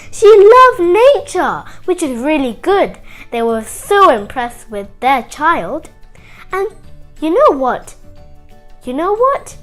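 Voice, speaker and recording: A woman with a high, lively voice tells a story for children over music. She takes it slowly, with big swings of intonation, and chats directly to her young listeners. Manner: animated, chatty, asks the listener questions. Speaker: children's story show host.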